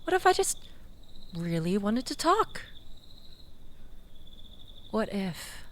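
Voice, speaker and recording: Woman acting as tipsy flirty ex gf in an audio roleplay, super slow n drawn out, swoopy teasing voice, faint crickets behind. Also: mid-range voice, sing-song, fairly bright tone, a little hiss or hum. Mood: mock-wounded. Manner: coy, teasing, mock-wounded, drawn-out with breathy dips. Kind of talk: intimate audio roleplay acting addressed to listener.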